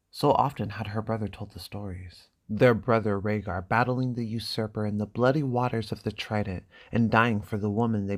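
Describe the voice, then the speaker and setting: A man narrating a graphic novel as a dramatic reading, in a hushed, deliberate storytelling manner. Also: fairly low pitch.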